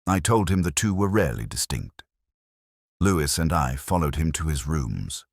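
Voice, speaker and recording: A man narrating a scripted first-person detective story in a measured, literary, brooding manner. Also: rumbling low, expressive, dry recording, clean studio sound.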